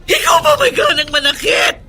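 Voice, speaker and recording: A woman's voice: very high-pitched, sing-song, normal speed, sharp tone, raised voice, heavily acted. A woman acting a poor, frightened laundrywoman wife, plaintive and pleading in a melodramatic radio drama. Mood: tearful, pleading.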